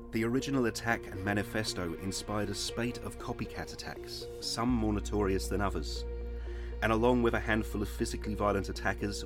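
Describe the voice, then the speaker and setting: An actor playing a sober male television reporter, narrating a scripted crime report in a serious, measured way. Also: on the low side, natural voice. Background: music bed.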